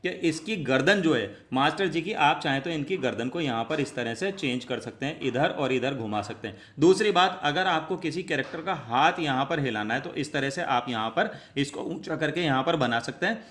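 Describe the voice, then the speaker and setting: A man with a forceful, bright voice pitched a little high. He presents a step-by-step tutorial, chatty and repetitive as he explains each action. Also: normal speed, few pauses. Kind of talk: step-by-step spoken app tutorial.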